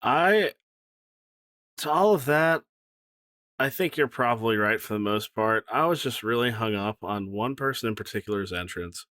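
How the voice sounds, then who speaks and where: A man's voice: medium pitch, lively intonation, moderate pace, fairly bright tone, no echo, studio quality. A man co-hosting a podcast in casual chat, joking and emphatic. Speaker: podcast co-host. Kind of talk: casual chat, reality TV recap.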